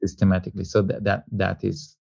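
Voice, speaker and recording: A man's voice: low voice, monotone, fairly quick, gravelly, darkish tone, slightly rough, no echo, faint music behind. Earnest man, dull dry sound. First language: not German; father is German. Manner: earnest, repeats words, searches for phrasing. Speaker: insurance company executive guest. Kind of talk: interview answers mixing English and German. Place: office in Hamburg.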